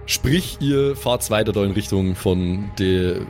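A man's voice: lowish voice, slow, music in the background. A man, improvising as game master in a colloquial, descriptive way. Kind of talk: improvised tabletop game narration.

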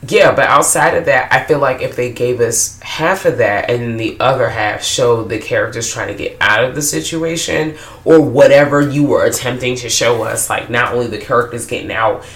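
A woman's voice: rumbling low, moderate pace, hardly any pauses. Tired, profane woman in a roomy echo. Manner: blunt, profane, animated. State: low tired after-work voice. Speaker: podcast co-host. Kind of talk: casual movie review chat.

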